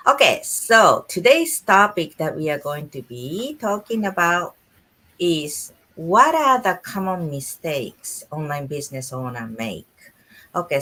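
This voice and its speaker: A woman from Japan, hosting a live online business chat in an animated, chatty way. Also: deep voice. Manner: animated, chatty, laughs often. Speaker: host.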